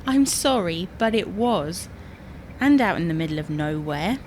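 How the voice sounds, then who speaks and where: A British actress playing an exasperated middle-aged wife in a domestic audio sitcom, with dry, bossy, gently teasing delivery. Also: female voice, on the low side, animated, unhurried, slight background noise. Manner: dry, scolding, sarcastic asides.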